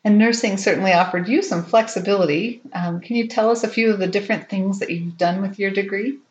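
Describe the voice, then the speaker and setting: A woman's voice: medium pitch, a little fast, reverberant, highs cut off. A woman interviewing a guest, asking attentive and encouraging questions.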